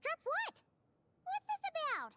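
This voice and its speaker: A woman voicing a tiny, excitable fairy companion for an anime game, with a squeaky childlike voice and a curious, chatty manner. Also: a little slow, very noisy, exaggerated voice. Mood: nosy. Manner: exclaims, refers to self in third person.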